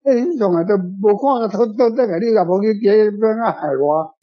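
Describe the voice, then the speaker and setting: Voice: medium pitch, moderate pace, highs cut off, faint music behind. A person with a Taiwanese accent chatting and telling stories in a rambling way, repeating phrases and tagging sentences with little questions. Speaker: guest author answering readers' questions. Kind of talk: casual storytelling discussion.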